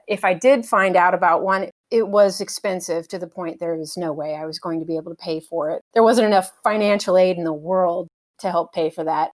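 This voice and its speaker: A middle-aged American woman, a helicopter pilot, speaking as an interview guest in a relaxed, thoughtful way with many fillers and light laughs. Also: mid-range voice. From United States. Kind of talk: casual conversational interview.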